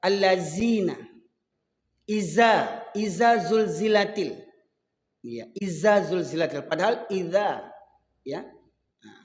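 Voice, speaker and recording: A man from Sumatra with a Medan accent, preaching a sermon to a congregation and slowing to chant verses. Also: high voice. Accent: Medan Indonesian. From Sumatra, Indonesia. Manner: rises and falls, slows to chant Quran verses. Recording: echoey hall.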